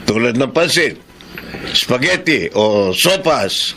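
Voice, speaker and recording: A man playing a stern, formal policeman in a radio drama, deliberate and authoritative, with a Filipino accent. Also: mid-range voice, sing-song, sharp tone, slight background noise, heavily acted. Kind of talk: Tagalog radio drama acting.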